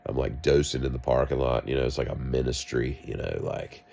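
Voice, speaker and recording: Deep, gravelly man with a cough. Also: brisk, a little mellow. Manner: wry, self-mocking, meandering asides. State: coughing, sick. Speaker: vlogger. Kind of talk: rambling storytelling monologue.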